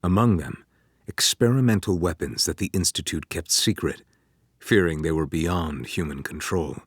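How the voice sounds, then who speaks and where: A man playing a solemn, ominous narrator of a war chronicle in a video game, speaking in a grave, measured, portentous way with a husky voice. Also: deep voice, no room sound, clean studio sound. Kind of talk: scripted dramatic story narration.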